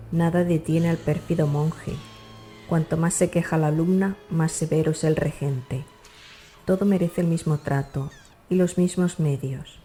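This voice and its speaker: Low, mellow woman narrating a story. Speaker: audiobook narrator. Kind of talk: scripted literary narration.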